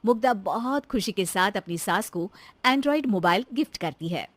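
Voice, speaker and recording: A woman's voice: medium pitch, lively intonation, moderate pace, no room sound. A woman reading scripted narration for a cartoon story.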